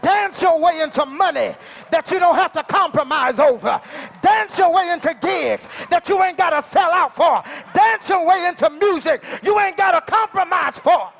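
A man in his forties preaching at a convention, shouting and chanting in tongues into a handheld mic during a praise break in a large hall. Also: very high-pitched, expressive, normal speed, creaky. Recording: music playing, far from camera mic.

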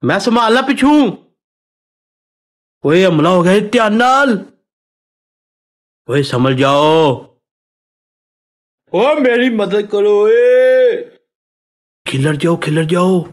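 A man voicing an excitable Punjabi squadmate in a game, calling out short exclamatory tactical orders with a Punjabi accent. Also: sits high, exaggerated voice. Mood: urgent. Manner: exclamatory, drawn-out calls. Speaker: game voice pack character.

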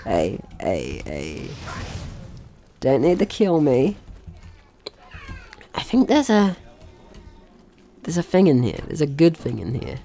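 A man's voice: mid-range voice, wildly swooping pitch, takes it slowly, pauses often, dark tone, soft background music. A man hosting a casual let's-play, talking playfully to the game's characters and swearing now and then. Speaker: gaming host.

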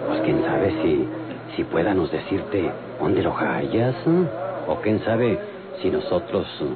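An actor playing a wry, rustic bandit in an old radio drama, with rural Mexican accent and folksy broken grammar. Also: male voice, low voice, animated, takes it slowly, a loud crowd. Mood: wary, sly. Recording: noisy, tinny old radio recording.

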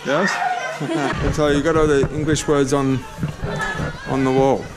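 A man's voice: mid-range voice, flat delivery, unhurried, music in the background, crowd noise. A middle-aged man chatting casually as a travel vlogger, filming himself on a handheld action camera outdoors, laughing easily. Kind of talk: casual travel vlog commentary. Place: outdoors on a tropical island. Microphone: action camera held at arm's length.

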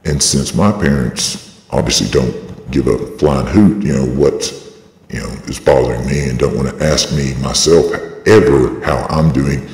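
A man's voice: moderate pace, a little mellow. A man giving an aggrieved, rambling and insistent personal statement through a voice changer. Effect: voice-changing device lowering the voice. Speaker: video blogger. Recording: processed, echoey voice with long reverb.

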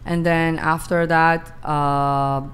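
A woman on a dating panel, talking slowly and haltingly about her relationships. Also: sits low, flat delivery, clear, resonant tone. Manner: slow, halting, with fillers. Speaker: panel guest. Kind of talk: casual interview answers.

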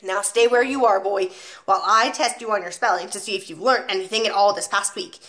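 Brief: bellowing, scornful giant headmistress, read by a woman, loud and barking. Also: mid-range voice, lively intonation, normal speed.

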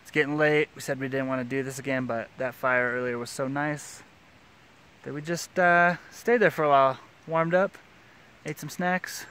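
A man's voice: mid-range voice, steady tone, normal speed, a bit bright, smooth. A man in his thirties chatting casually while hiking outdoors on a forest trail, filmed on a handheld camera at arm's length. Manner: upbeat, chatty, out on the move. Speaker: vlogger hiking a long trail. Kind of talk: casual vlog narration.

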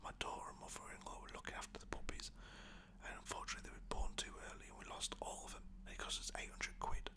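A person with a British accent whispering casual, cheeky, slangy stories in a breathy ASMR style. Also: fast talker. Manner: cheeky, chatty, slangy, mild swearing. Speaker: storyteller talking about own pets.

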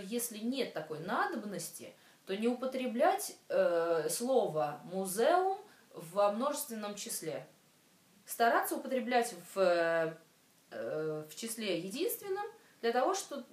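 A woman in her thirties hosts her own language lesson video, chatting loosely and pausing often to search for words. She is recorded from a small distance, so the voice sits back in an echoey room. Her examples come out slow and careful. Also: fairly high pitch. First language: Russian. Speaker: Polish language teacher and show host. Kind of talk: casual video lesson to camera.

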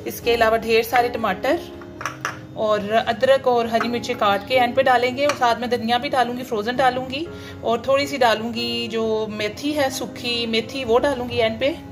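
A woman walks through a home recipe step by step, homely and unhurried, pausing on fillers as she goes. Music plays clearly behind her voice. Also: a little high, fairly even intonation. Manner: homely, step-by-step explaining with frequent "um" pauses. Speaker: cooking channel host. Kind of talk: home cooking demonstration voice-over.

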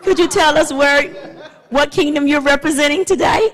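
A middle-aged woman pastor leading a church service on a handheld mic, chatty and loose, halting with fillers and restarts. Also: high-pitched, a faint crowd. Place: church sanctuary, indoors. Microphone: handheld microphone. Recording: long church hall reverb.

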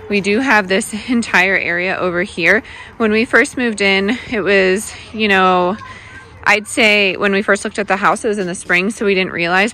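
A woman's voice: fairly low pitch, pushed and bright. A young woman vlogging casually to a handheld phone, chatty and upbeat, with filler words. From United States. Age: young adult. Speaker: family vlogger. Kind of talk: casual vlog talk to camera. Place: indoors room, then snowy backyard outdoors. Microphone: phone held at arm's length.

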